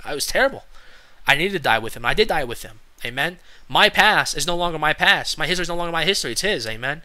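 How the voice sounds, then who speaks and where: A man in his early twenties giving an earnest, casual spoken sermon, halting often with fillers and restarts. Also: a little high, sharp tone. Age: 20s. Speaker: lay preacher.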